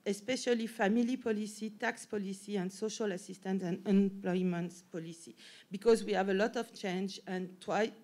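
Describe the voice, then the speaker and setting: A woman's voice: mid-range voice, steady tone, normal speed, darkish tone. A woman with a French accent and French as her first language, giving an academic conference talk with frequent restarts and self-corrections. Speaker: professor and panel speaker.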